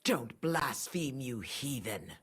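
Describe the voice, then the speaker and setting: A fanatical, haughty pious mother, wildly expressive. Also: rumbling low, takes it slowly, slightly rough, full character voice. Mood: righteous scorn. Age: middle-aged. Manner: sanctimonious, scornful, defiant. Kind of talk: dramatic video game acting.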